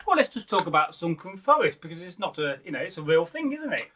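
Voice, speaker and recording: A man's voice: fairly high pitch, very animated, fast, sharp tone, a little hiss or hum. A British man co-hosting a casual chat, chatty and often prompting with questions. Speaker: co-host.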